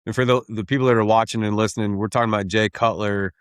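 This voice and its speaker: Low-voiced man hosting a podcast. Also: fast talker, clean tone, dry recording, clean studio sound. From Tennessee, USA. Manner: relaxed, curious, asks follow-up questions. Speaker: podcast host. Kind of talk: conversational interviewing.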